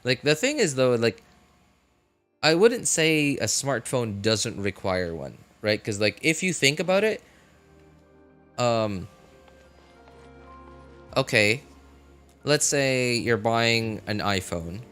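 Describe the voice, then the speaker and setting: A man's voice: mid-range voice, big pitch swings, slow, long pauses, fairly bright tone. Man, mid-range voice, casual livestream talk, conversational rambling, frequent check-in questions, background music. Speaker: live game streamer.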